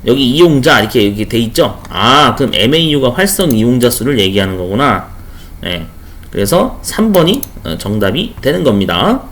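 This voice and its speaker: A male instructor walks through exam questions in a loose, chatty way, dropping quick "huh?" check-ins as he goes. His pitch moves a lot, rising and falling as he explains. His voice sits in an ordinary middle register. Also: big pitch swings, fairly bright tone, slight background noise. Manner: chatty, frequent rhetorical "어?" checks and asides. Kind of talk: casual screen-share lecture.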